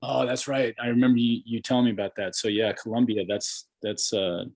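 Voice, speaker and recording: A man's voice: medium pitch, level tone, brisk, darkish tone. A middle-aged American man hosting a casual podcast interview, talking in a rambling, colloquial and enthusiastic way. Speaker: podcast host.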